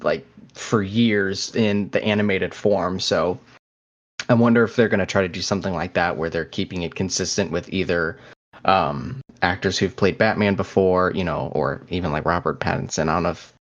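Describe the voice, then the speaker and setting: Lowish-voiced young man, slightly dull audio. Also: steady tone, moderate pace. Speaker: podcast co-host. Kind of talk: casual chat about pop culture.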